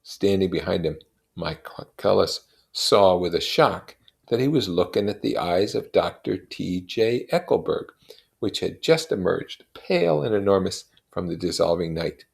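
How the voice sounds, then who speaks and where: Male audiobook-style narrator for a classic novel; low register, creaky edge, expressive phrasing. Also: measured pace. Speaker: reader. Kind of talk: expressive literary narration read aloud.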